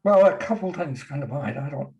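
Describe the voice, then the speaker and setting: Older man, gentle and slow reminiscing. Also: on the high side, lively intonation, creaky, lo-fi sound. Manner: slow, gentle, warm storytelling with soft chuckles. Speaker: guest sharing memories. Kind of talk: casual reminiscing.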